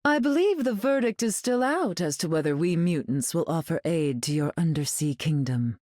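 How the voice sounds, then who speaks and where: Arch, elegant, low-voiced lady. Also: lively intonation, moderate pace, a little mellow, no echo. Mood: arch, amused. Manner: arch, dry, formal. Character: cool, elegant, teasing aristocratic mutant woman.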